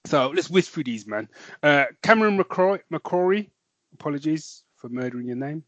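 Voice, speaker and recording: A man's voice: fairly high pitch, expressive, moderate pace, dry recording, muffled recording. A man co-hosting a podcast, chatting with rambling enthusiasm and a lot of "man". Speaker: podcast co-host. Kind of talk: casual chat.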